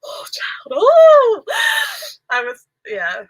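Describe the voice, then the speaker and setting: A woman's voice: very high voice, wildly swooping pitch, very slow. A woman chatting casually while she crochets, rambling and giggling, her nose stuffy and nasal from allergies. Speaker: crochet vlogger.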